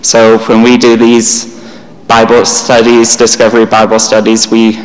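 Monotone young man in a reverberant hall. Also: fairly low pitch. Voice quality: monotone delivery. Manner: plain, unhurried, with pauses and restarts. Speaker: church speaker. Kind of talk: church teaching talk.

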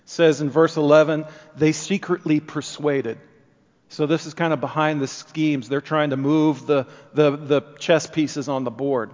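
Earnest preacher in a reverberant church. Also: male voice, on the high side, muffled recording. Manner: earnest, rising and falling emphasis. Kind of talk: sermon.

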